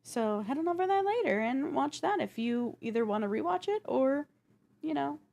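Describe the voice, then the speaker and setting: A woman's voice: sits high, lively intonation, moderate pace, creaky, a little mellow. Chatty woman doing gaming news countdown, informal, like a casual co-host. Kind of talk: casual list-style gaming news presentation.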